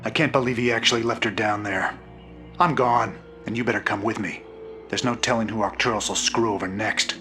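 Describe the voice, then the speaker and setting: A rugged, disillusioned rebel soldier in a game cutscene, blunt and direct. His voice sits fairly low. Music plays clearly behind him. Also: fairly even intonation, fairly quick, sharp tone. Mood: disgusted. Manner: blunt, angry, then bitter. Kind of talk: video game cutscene acting.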